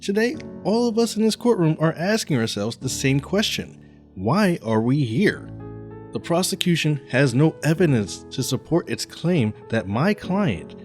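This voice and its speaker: Man acting as impassioned cartoon defense lawyer, grandiose, emphatic, very lively intonation, faint music behind. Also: on the high side. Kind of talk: animated comedy courtroom dialogue.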